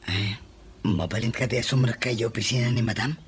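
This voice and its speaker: A man's voice: medium pitch, steady tone, moderate pace, noisy background, close to an everyday voice. Man acting a sheepish young office employee. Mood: anxious, curious. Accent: Ilocano. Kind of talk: Ilocano radio comedy drama acting.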